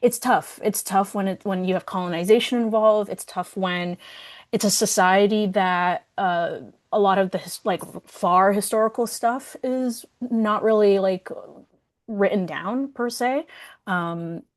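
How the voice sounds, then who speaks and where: Woman hosting an online-style q and a, casual, mid pitch, kinda halting, thinks out loud. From Somali community. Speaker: workshop moderator. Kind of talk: casual discussion, relaying audience questions.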